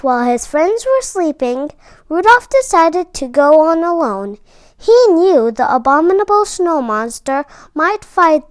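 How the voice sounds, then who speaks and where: A woman storyteller reading a children's storybook aloud with expressive, emphatic phrasing. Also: high-pitched, no room sound.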